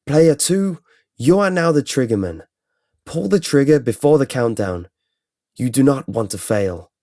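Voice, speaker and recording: A man voicing a menacing female computer game announcer in a story, giving measured, impersonal commands. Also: mid-range voice, expressive, mellow, no echo, studio quality, pitched above the performer's own voice. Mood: commanding. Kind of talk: horror audiobook character dialogue.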